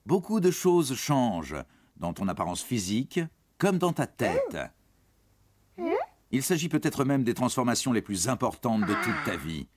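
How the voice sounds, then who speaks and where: A man's voice: medium pitch, big pitch swings, a little slow, breathy edge. A man reading scripted educational narration for children, explaining things in a reassuring way and speaking directly to the young listener.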